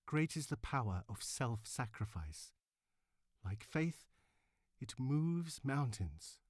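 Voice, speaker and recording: An impassioned, cultivated campaigner for women's votes, voiced by a man at a middling pitch. The oratory is formal, measured and emphatic, like a dramatic reading of a historical speech. The sound is dry. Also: big pitch swings, dry recording. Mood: admiring, fervent. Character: impassioned, cultivated suffragist woman orator of the 1900s.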